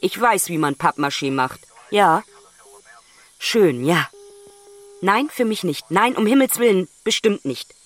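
Slow, swooping, exasperated grown daughter. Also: female voice, lowish voice, lively intonation. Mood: bemused, reluctant. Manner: impatient, one-sided phone chat with pauses. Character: grown daughter, exasperated on phone with rambling father. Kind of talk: naturalistic radio play dialogue.

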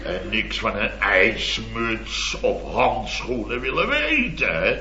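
An actor playing a blustery, boastful middle-aged building contractor in a radio comedy, rambling and exclamatory. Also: male voice, lowish voice, sing-song, slow, bright, forceful tone, slight background noise, full character voice. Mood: boastful relish. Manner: rambling, exclamatory, constant tag words. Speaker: comic lead actor. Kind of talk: 1970s radio comedy acting. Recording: dull old radio recording, roomy, audience laughter.

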